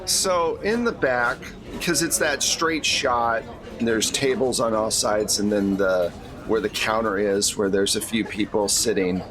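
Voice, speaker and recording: A man's voice: lowish voice, measured pace, a crowd in the background. A man acting as game master, narrating a tabletop role-playing game in an informal, conversational way.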